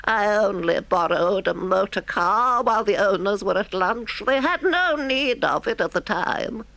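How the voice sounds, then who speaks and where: High, wailing toad, self-pitying, boastful, storybook, dry. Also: male voice, expressive, gravelly, pushed and bright, dry recording, heavily acted, poor audio. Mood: sheepish. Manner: high wailing, drawn-out pleading.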